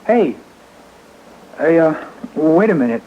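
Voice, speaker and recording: A man's voice: a little high, very animated, slow pace. An actor playing a sullen, resentful teenage boy in old radio suspense style. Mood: guarded. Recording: muffled, noisy old radio broadcast.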